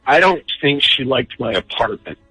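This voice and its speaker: A man with a bright, forward voice and a husky edge talks as a radio caller. He tells his story casually, a little hesitant and self-deprecating, over background music. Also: medium pitch, expressive, moderate pace, poor audio.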